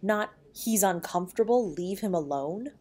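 A woman playing a protective, loving wife in an intimate audio roleplay, with a breathy voice. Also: medium pitch, animated, normal speed, dark tone, natural voice. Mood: firm, indignant. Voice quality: breathy, often dropping to a whisper. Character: protective loving wife comforting and defending her husband.

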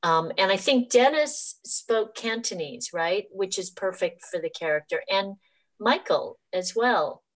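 An American actress answering questions in a relaxed Q&A over a video call from her living room, thoughtful and quick to laugh. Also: mid-range voice. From United States. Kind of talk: casual Q&A answers. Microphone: laptop webcam on a video call.

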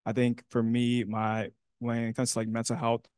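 A young man speaking earnestly and in a measured way as a panelist in a university classroom. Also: mid-range voice, monotone, a little mellow, smooth, no room sound, clean studio sound. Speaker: panelist, alumnus. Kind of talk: panel discussion.

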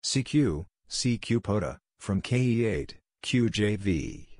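Guy w a fairly low voice reading out radio call signs word by word, clipped, really dry sound. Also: expressive, takes it slowly, darkish tone, no room sound. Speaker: narrator of Morse code practice exchanges. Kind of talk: scripted read-out of call signs and radio exchanges.

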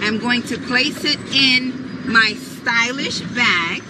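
A woman in her thirties filming a vlog with a handheld camera, explaining slowly and deliberately as she walks through a shopping mall. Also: on the high side, noisy background. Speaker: vlogger. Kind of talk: vlog walkthrough. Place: car, then large indoor shopping mall. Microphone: handheld camera at arm's length.

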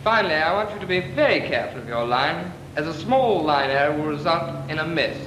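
Actor as a crisp flying instructor. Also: male voice, fairly high pitch, animated, fairly quick, natural voice. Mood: instructive. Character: brisk male naval flying instructor. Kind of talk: 1940s training film acting. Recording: echoey, hissy 1940s film soundtrack.

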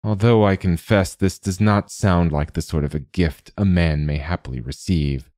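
A man's voice: sits low, moderate pace, a little mellow, dry recording, clean studio sound, lightly acted. A man voicing an eager, ambitious young prince turned king in a storybook, with a declamatory, commanding manner. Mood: careful, restrained.

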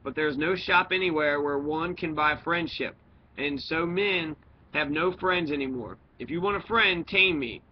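A man voicing a lonely, philosophical talking fox from a storybook, speaking in earnest, deliberate dialogue. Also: a little high, pitched above the performer's own voice, lightly acted. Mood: earnest, pleading. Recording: dull lo-fi recording with noise.

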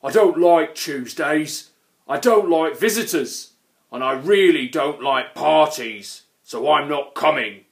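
A man's voice: a little high, slow, gravelly. A man voicing a grumpy, horrid storybook troll who growls, snarls and bellows. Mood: angry, indignant. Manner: growling, snarling, shouted delivery.

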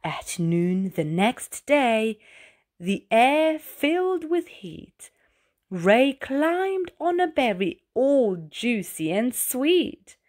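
A woman narrating a rhyming children's storybook aloud with dramatic, deliberate phrasing. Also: fairly high pitch, sing-song, a little mellow.

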